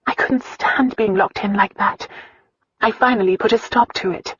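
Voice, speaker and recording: A reader voicing a gentle, earnest young woman who speaks softly and sincerely, at times in a whisper. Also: mid-range voice, moderate pace, breathy edge, dry recording, pitched above the performer's own voice. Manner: soft, sincere, sometimes whispering. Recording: dull lo-fi audiobook recording.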